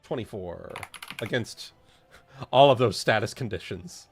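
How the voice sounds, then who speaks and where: A man with a fry-edged voice and an unhurried delivery. He talks casually as a tabletop roleplayer, agreeable and brief in his replies. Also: lowish voice, animated, over music. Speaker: player in a tabletop roleplaying game. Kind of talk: casual game-session chat.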